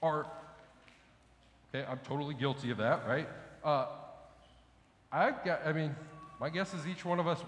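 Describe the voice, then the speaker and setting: A middle-aged male pastor, fairly high for a man, preaches in a loose, conversational way, asking questions of the congregation. His voice rings through a large church hall with a long, echoing tail and faint room noise behind it. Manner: conversational preaching, asks the congregation questions, gestures with raised hands. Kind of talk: sermon.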